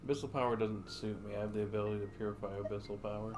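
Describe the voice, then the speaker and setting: A man's voice: lowish voice, steady tone, very fast, lightly acted. A man playing an earnest young traveler hero in an anime game, with a questioning manner. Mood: earnest, wary. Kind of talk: anime game dialogue acting. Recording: clear background noise.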